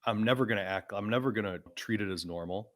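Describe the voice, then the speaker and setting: A man's voice: lowish voice, quick pace. A man of about forty hosting a podcast, talking frankly and casually, often adding "man" and swearing. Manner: laid-back, frank, swears, says "man" a lot. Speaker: podcast host.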